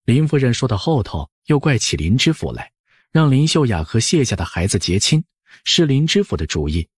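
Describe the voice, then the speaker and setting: A man's voice: mid-range voice, big pitch swings, moderate pace, breathy edge, no echo, studio quality. A man narrating an audiobook in a scripted storytelling style.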